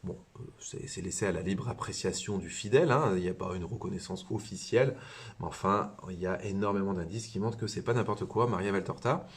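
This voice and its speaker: A man's voice: sits low, brisk, slightly rough. A man, a priest and religious brother from southern France, giving an informal spiritual talk with many hesitations and restarts. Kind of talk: informal spiritual teaching to camera.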